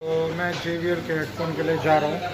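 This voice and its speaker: A man's voice: fairly high pitch, flat delivery, a little fast, rough recording. A young man chatting casually to his phone camera as he walks through a shopping mall. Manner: chatty, upbeat, talking to camera while walking. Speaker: vlogger. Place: indoor shopping mall and clothing store. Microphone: handheld phone at arm's length. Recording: phone vlog with music playing behind. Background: background music.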